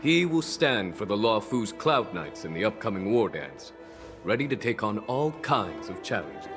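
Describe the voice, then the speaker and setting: Casting: low-voiced, composed general, diplomatic, expressive pitch. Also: male voice, music in the background. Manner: measured, diplomatic, polite. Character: calm composed male general, courteous mentor. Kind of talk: game cutscene voice acting.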